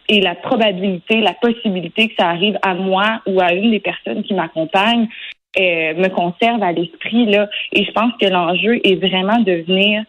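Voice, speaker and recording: A woman in her twenties with a Québécois French accent, a lawyer answering interview questions earnestly, often pausing with fillers and restarting phrases. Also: medium pitch, rough recording. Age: 20s. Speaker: lawyer guest.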